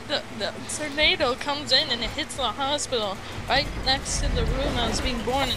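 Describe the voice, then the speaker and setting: A playful, halting speaker from the United States telling a rambling tall tale as a guest on an internet voice call. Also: high voice. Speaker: guest storyteller on a gaming voice call. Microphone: Skype call. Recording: clearly noisy internet voice call.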